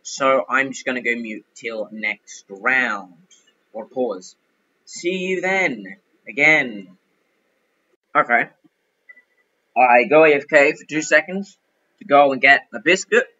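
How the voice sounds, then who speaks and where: Bright-voiced young man chatting over gameplay. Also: mid-range voice, slow pace, long pauses, dull audio, a little hiss or hum. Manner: chatty, excitable, frets and pleads aloud. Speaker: gaming YouTuber. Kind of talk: casual gameplay commentary.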